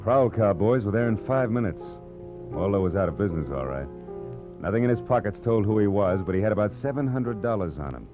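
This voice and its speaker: A man's voice: fairly low pitch, animated, fairly quick, natural voice. An American man playing a wry, hard-boiled private detective in a 1940s radio drama, sardonic and clipped. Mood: tense narration. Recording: dull vintage radio recording, music behind.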